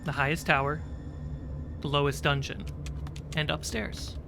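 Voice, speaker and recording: Game master for a tabletop session, unhurried and halting, mid-pitched voice, noisy recording. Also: steady tone. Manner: deliberate, halting scene-setting with pauses. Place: online livestream video call. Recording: clearly noisy background.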